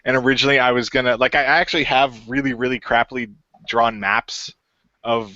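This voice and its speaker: A man's voice: medium pitch, fairly even intonation, fairly quick, sharp tone, dry recording. A man who created and ran a radio play, chatting casually and rambling with many fillers and restarts. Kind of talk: casual podcast chat. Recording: dull lo-fi dry sound.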